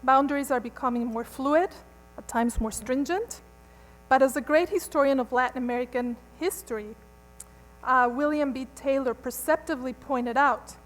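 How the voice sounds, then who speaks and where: High-voiced woman lecturing in a reverberant hall. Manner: measured, reads a prepared paper. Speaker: museum curator giving a lecture. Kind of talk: formal academic lecture.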